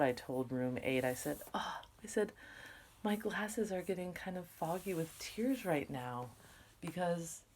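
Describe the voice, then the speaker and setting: A woman with a low-pitched voice speaks as a teacher reading to her class. She delivers the story expressively and slips into casual, explanatory asides for the listeners. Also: a little mellow, a little hiss or hum. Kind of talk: read-aloud storytelling with casual asides to students.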